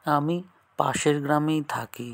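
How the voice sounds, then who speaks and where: Casting: male actor as kindly village landlord, mid-pitched and gravelly, even delivery, earnest and polite, cartoon drama. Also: measured pace. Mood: helpful. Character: kindly young landlord gentleman in disguise, concerned and helpful. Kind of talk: cartoon story dialogue.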